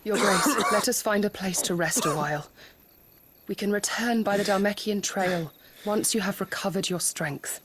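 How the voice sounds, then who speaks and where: A woman's voice: mid-range voice, moderate pace, heavy background noise, lightly acted. A woman playing a loyal, concerned attendant to a nobleman in a game cutscene, careful and formal. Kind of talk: dramatic video game cutscene acting.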